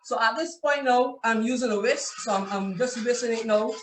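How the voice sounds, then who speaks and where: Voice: mid-range voice, normal speed. Chef asking "right?" in an echoey kitchen. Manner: explains step by step with many "right?" checks. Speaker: chef cooking live. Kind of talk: cooking demonstration on a video call. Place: home kitchen. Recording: distant echoey room with faint noise.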